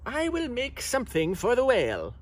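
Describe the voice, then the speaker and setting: A man voicing a proud, clever storybook inventor with a boastful, brisk manner. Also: very high-pitched, wildly swooping pitch, pitched above the performer's own voice, full character voice. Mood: proud, eager.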